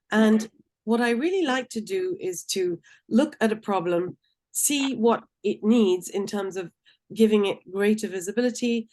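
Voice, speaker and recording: A woman, answering interview questions in an articulate, measured way, with the occasional um. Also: mid-range voice, darkish tone. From Tunisia. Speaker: guest.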